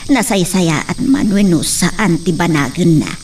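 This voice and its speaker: A woman's voice: fairly low pitch, animated, a little slow, darkish tone. Woman doing worried ilocano mom, far from the mic, crickets chirping. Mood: anxious. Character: worried provincial mother of a grown son. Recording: distant mic with faint noise. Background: crickets and insects.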